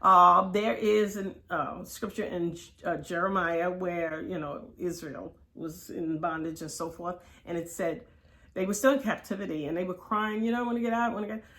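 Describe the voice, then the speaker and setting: A woman in her sixties telling personal stories as an interview guest, candid and expressive, often acting out what was said. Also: fairly low pitch, moderate pace. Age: 60s. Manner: expressive, quotes herself and others as she tells it. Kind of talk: candid personal storytelling in conversation.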